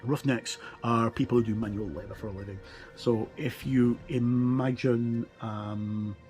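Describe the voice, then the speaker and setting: A middle-aged man giving an informal explanatory walkthrough as a gaming reviewer, halting as he thinks aloud. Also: lowish voice, a little mellow.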